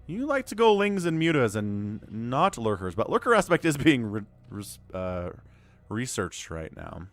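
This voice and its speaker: A man calling a live strategy game match solo, his voice a little hoarse and stuffy from a cold, swinging from calm analysis to loud exclamations. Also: medium pitch, a little slow, no room sound. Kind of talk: live esports play-by-play commentary.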